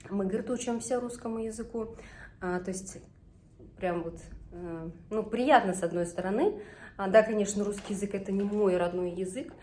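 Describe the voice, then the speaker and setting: A woman from Tatarstan, Tatar by first language, talks casually in her home kitchen in a slightly low voice. She chats along homely and breaks off now and then to coach her kids. A faint hiss sits under the recording. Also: darkish tone. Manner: chatty and homely, often breaks off to instruct her children. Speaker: vlogger and mother. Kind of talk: casual home vlog chat while cooking with kids.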